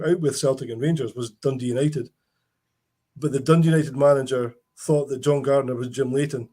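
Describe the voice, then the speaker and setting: A man's voice: medium pitch, darkish tone. Man hosting a rambling chat. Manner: rambling anecdotes with frequent restarts. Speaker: host. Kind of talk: casual chat.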